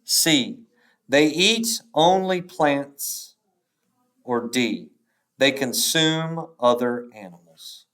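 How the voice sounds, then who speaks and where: A male teacher reads a test aloud at a slow, careful pace. His pitch moves up and down noticeably as he sets out each question and option, pausing between them. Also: animated, a bit bright. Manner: deliberate, instructional, pausing between answer choices.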